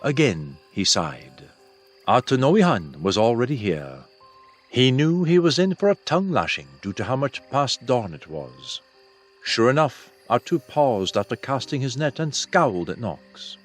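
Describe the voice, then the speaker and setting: A man narrates a fantasy novel in a medium-pitched voice. His intonation moves expressively through the scripted prose. Soft music sits underneath. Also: big pitch swings, unhurried. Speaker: audiobook narrator.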